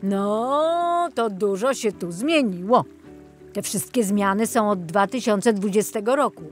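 A woman's voice: mid-range voice, lively intonation, a little slow, soft background music, close to an everyday voice. A woman voicing a friendly, chatty male boatman tour guide in a children's audiobook, in a welcoming storytelling manner.

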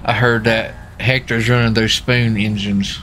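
A man's voice: lowish voice, flat delivery, measured pace, a bit bright. A man rambling in a joking, folksy way during casual in-character gaming banter. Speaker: role-play gamer playing a US marshal. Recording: game voice chat with clear vehicle noise.

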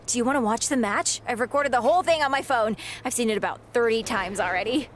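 A woman voicing an excitable young female wrestling fan who talks in a bubbly, giggly way. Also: fairly high pitch, fast, a little hiss or hum, close to an everyday voice. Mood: gushing.

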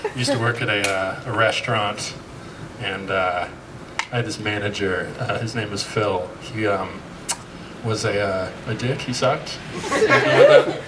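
An American man in his thirties does stand-up on a handheld mic on an outdoor patio at night. His delivery is halting and deadpan, full of "uh" and "um," while his pitch swings widely. The sound comes from a distant camera, with loud audience laughter around him. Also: over a noisy crowd, heavy background noise. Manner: halting delivery with frequent fillers; deadpan self-deprecating jokes. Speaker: stand-up comedian. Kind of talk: stand-up set.

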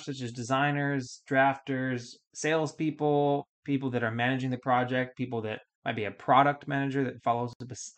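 A man's voice: mid-range voice, gravelly, a bit bright. A man in his thirties hosting a solo podcast, explaining things in a casual, step-by-step way with fillers and restarts, recorded at a studio mic in a small foam-panelled room. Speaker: podcast host.

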